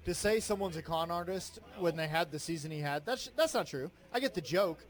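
A man co-hosting a sports radio show from a bar, talking in a loud, preacher-like voice with joking banter. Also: on the high side, expressive, fairly quick. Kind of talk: casual sports talk. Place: sports bar remote broadcast. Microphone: headphones and studio mic.

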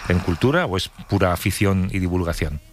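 A man hosting a radio talk show, introducing and questioning a guest in a friendly, halting way. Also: low-pitched, animated, slight background noise. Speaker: radio host.

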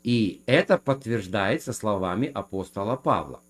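A man in a theological debate who speaks in a measured way and quotes scripture to make his case. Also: on the low side, lively intonation. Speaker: guest defending speaking in tongues.

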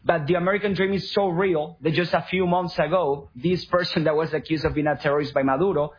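Husky man, higher-pitched voice. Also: steady tone, quick pace. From Venezuela. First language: Spanish. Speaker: talk show guest. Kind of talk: personal storytelling in an interview. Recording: dull lo-fi radio sound.

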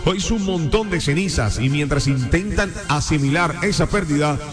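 A man's voice: on the high side, moderate pace, echoey room, soft background music. A man hosting a morning radio show, chatting and reading the news with emphasis. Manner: lively banter, then steady emphatic news reading. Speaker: radio host and newsreader. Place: radio studio.

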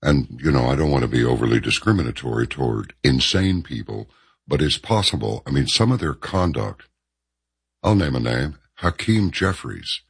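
A man hosting a political talk show, questioning a guest with sardonic, drawn-out emphasis. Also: extremely low pitch, lively intonation, vocal fry, breathy edge, dry recording, clean studio sound. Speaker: host.

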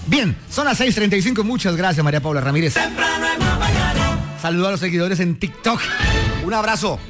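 A man with a Colombian accent hosting a morning radio show, playful and jokey in lively banter with his co-host. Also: sits high, brisk, loud music behind the voice. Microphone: studio microphone.

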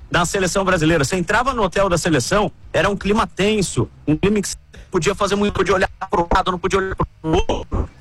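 A man with a Brazilian accent, reporting on the team over a remote call and telling it in a steady, explaining way. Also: high-pitched, husky. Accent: Brazilian Portuguese. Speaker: reporter covering the national team. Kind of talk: sports talk panel. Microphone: remote call with a weak connection.

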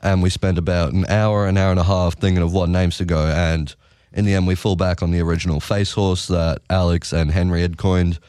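Very deep-voiced teen musician. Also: male voice, little pitch movement, brisk, darkish tone, clear, resonant tone, no echo, clean studio sound. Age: teenager. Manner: easygoing, hesitant with frequent uhs. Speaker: guest musician. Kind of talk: casual interview answers.

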